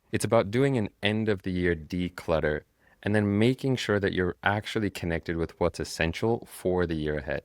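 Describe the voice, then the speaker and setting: A man with a very deep voice hosts a motivational self-help show. He speaks in a calm, earnest and encouraging way, and his throat sounds a little rough because he is getting over a cold. The recording is dry and close, with almost no room sound. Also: dry recording. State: recovering from a cold, sore throat. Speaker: podcast host. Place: traveling, recording on location.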